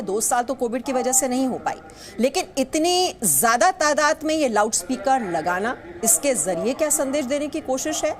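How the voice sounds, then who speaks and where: A woman anchoring live news, questioning a reporter in a pressing, challenging manner. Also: high-pitched.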